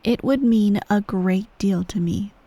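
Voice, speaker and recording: A woman's voice: medium pitch, dark tone, no room sound. A woman playing a cold, condescending evil empress, close-miked. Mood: menacing, possessive. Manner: measured, condescending, often dropping to a breathy near-whisper. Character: cold, manipulative female ruler of an evil empire, stern parent. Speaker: voice actor in a roleplay audio. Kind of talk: ASMR roleplay, slow bedtime storytelling. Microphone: close microphone.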